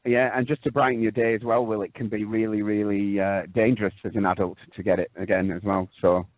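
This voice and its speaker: Wry co-host on a tinny phone line. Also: male voice, lowish voice, flat delivery, fairly quick, no room sound. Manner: dry, wry understatement. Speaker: podcast co-host. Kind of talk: casual podcast chat.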